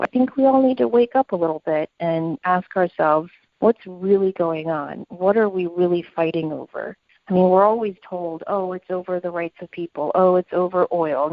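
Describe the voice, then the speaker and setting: A woman's voice: lowish voice, no room sound, muffled recording. A woman co-hosting a conversational podcast, speaking in an earnest, opinionated way. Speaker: podcast co-host.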